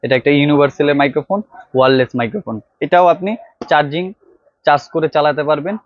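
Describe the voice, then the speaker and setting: A man's voice: on the high side, quick pace, no room sound. A man with a Bangladeshi accent presents products in an informal, salesman-like way, addressing viewers directly on a lapel mic. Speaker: electronics shop vlog presenter. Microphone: clip-on wired lapel microphone. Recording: dry, slightly dull lo-fi sound.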